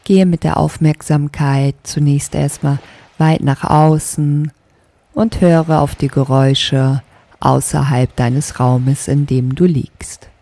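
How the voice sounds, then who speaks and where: A woman leading a guided sleep meditation as a yoga nidra teacher, speaking softly in a calming, deliberate way. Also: sits low, no room sound. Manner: soft, calming, deliberate instruction.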